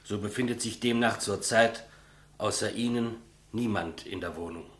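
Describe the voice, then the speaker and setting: An actor playing an elderly state executioner, polite, clumsy and matter-of-fact, in 1950s German radio play style. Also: male voice, lowish voice, normal speed, lightly acted. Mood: businesslike probing. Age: older. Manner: deferential, plain, businesslike.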